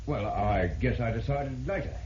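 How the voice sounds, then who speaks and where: An actor playing a despondent, deferential deserter on the witness stand in an old-time radio drama. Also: male voice, mid-range voice, lively intonation, normal speed, close to an everyday voice. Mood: hesitant, subdued. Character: despondent male deserter on trial for murder, meek witness. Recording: dull, noisy, echoey vintage radio recording.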